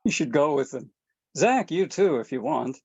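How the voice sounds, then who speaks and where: A calm, reassuring father, read by a woman at a table read. The voice is extremely deep, dark and husky. The audio is dull and muffled. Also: moderate pace, exaggerated voice. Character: gentle, patient father.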